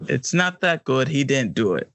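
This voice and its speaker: Man, American accent, creaky gravelly voice, dry close sound, podcast co-host, blunt joking chat. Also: mid-range voice, very animated, normal speed, a bit bright, dry recording. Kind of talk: casual banter.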